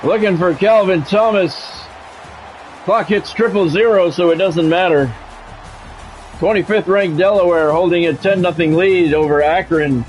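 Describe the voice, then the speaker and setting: Higher-pitched man calling a game. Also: normal speed, faint music behind, poor audio. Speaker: game streamer doing play-by-play. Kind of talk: sports commentary mixed with casual chat with viewers.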